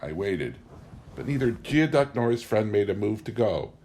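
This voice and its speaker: A man with a fairly low voice narrates a book aloud. He delivers literary first-person memoir prose in the role of an audiobook reader. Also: big pitch swings, normal speed, darkish tone, a little hiss or hum.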